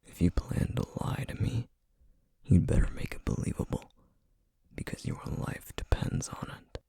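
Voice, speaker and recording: A man playing a menacing, distrustful feral essence eater in an ASMR roleplay, speaking in a breathy, husky near-whisper with slow, threatening deliberation. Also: dark tone, natural voice. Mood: bitter, threatening. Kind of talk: ASMR roleplay audio drama.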